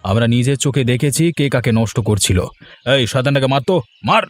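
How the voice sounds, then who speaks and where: A man's voice: medium pitch, fairly quick, faint music behind, lightly acted. A man playing a stern, tough village police officer with a commanding manner in a cartoon melodrama. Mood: threatening. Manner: commanding, authoritative.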